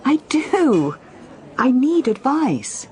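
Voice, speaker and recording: A woman's voice: high-pitched, very animated, drawn-out words, mellow, heavily acted. A woman acting in a radio drama as an earnest, restless reporter, articulate but hesitant and questioning. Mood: earnest, frustrated.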